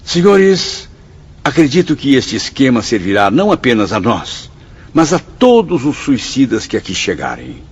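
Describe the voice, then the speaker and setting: An actor playing a melancholy, courteous writer spirit in an audio drama, speaking in a formal, earnest, literary way. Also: male voice, mid-range voice, sing-song, normal speed, breathy edge. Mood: earnest, humble. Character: Camilo, melancholy, courteous convalescent writer spirit, male narrator. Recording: dull audio with music behind.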